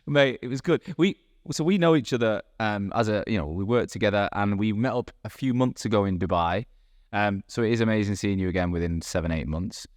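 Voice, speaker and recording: Fast-talking Manchester man hosting. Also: lowish voice, no echo. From England. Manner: chatty, interjects often. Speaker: podcast host. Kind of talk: casual interview chat. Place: room in Dubai.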